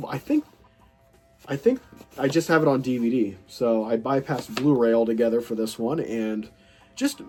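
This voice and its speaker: A man's voice: medium pitch, a little mellow, slightly rough. A man with a stuffy, nasal voice from a cold, hosting a casual movie collection chat, rambling and enthusiastic with lots of fillers and restarts. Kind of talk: casual chat showing a film haul.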